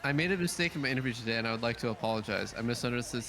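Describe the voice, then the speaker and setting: Fast-talking man teasing his co-host. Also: medium pitch, fairly bright tone. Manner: teasing, quick comebacks. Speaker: co-streamer. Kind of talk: casual banter on a co-stream.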